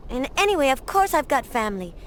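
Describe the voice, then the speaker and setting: A young orphan girl from a game, very high and sharp-toned. She is blunt, spunky and defiant, over faint background ambience. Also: very animated, fast talker, a little hiss or hum. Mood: defiant, curious. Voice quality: bright, childlike. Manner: blunt, cheeky. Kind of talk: video game voice acting.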